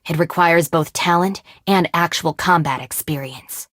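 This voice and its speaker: A woman's voice: lowish voice, measured pace, fairly bright tone, no room sound. A young woman voicing a capable, level-headed gang deputy in a video game, speaking in a composed, dry, matter-of-fact way. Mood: instructive. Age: young adult. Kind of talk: video game character voice lines.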